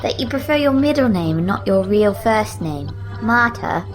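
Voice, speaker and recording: A woman's voice: medium pitch, expressive, moderate pace, soft background music, pitched above the performer's own voice, lightly acted. A woman voicing a knowing, eerie little girl with a posh upper-class English accent, prim and teasing.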